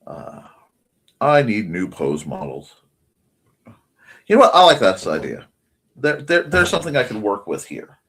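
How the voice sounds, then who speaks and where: A man's voice: on the low side, huge pitch swings, measured pace, pauses often, fairly bright tone. A man hosting a casual drawing livestream, muttering and thinking aloud in fragments, with a slight speech impediment. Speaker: livestream host and artist.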